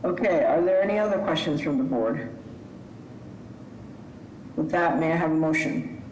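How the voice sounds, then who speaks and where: A woman chairing a public zoning hearing, brisk and businesslike. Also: deep voice, animated, a little mellow, a little hiss or hum. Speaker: board chair. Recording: echoey call audio.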